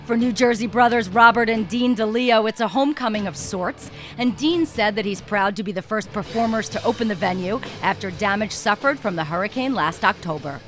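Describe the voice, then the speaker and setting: A woman reporter narrating an entertainment news segment in a smooth, upbeat scripted delivery. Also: medium pitch, brisk, hardly any pauses, fairly bright tone, soft background music.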